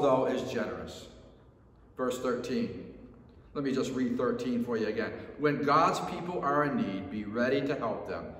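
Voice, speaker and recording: A middle-aged man with an American accent preaching a sermon as a pastor, earnest and emphatic, repeating phrases for stress. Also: a little high. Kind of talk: sermon with scripture reading. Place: church sanctuary, standing at a lectern. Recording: very echoey church hall.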